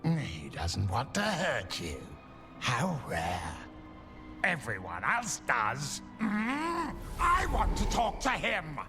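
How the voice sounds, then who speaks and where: A restless male demon spirit in a fantasy game. He is erratic and insistent, slow but wildly varied in pitch, over clear background music. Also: huge pitch swings, exaggerated voice. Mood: sly, bitter. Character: possessing demon spirit, restless, demanding and childlike.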